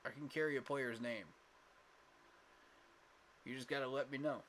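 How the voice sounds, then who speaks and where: A man's voice: mid-range voice, steady tone, normal speed, a bit bright, a little hiss or hum. A man hosting a casual fan livestream, talking emphatically with lots of fillers and repeated words, tired from little sleep. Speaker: fan channel host.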